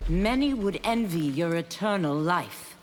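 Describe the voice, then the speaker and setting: Grave female spiritual seeker, lowish voice, expressive, game dialogue in long reverb. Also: unhurried, a little hiss or hum. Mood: earnest, grave. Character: earnest, determined female penitent seeking absolution. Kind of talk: video game character dialogue.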